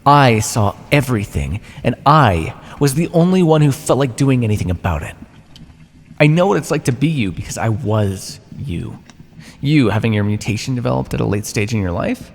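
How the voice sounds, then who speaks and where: Guy doing an old smug vampire captor for asmr roleplay, gravelly fry, taunting, big reverb and some noise behind. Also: medium pitch, lively intonation. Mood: proud, intense. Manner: taunting, patronising, theatrical, with deliberate pauses. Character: ancient condescending male vampire captor, smug and menacing. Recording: long reverb, noisy background.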